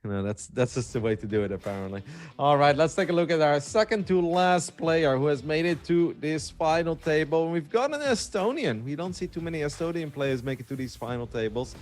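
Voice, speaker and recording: A man hosting a poker broadcast, briskly introducing players and handing off to his co-host. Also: a little high, lively intonation.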